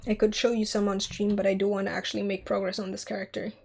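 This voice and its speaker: A woman's voice: medium pitch, little pitch movement, quick pace, gravelly, slightly rough. A young woman in her twenties streaming while she sculpts, chatty and giggly as she answers chat, her voice scratchy from a cold. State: scratchy from a sore throat and cold. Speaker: streamer, 3D artist.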